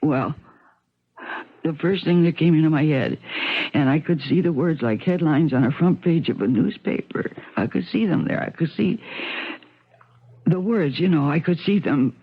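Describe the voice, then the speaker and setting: An actress playing a distraught, suicidal wife in 1970s radio drama, halting, rambling and bitterly flippant. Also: female voice, low-pitched, lively intonation, breathy edge, heavily acted. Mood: woozy, insistent. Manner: halting, rambling, self-questioning. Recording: muffled, thin 1970s radio broadcast.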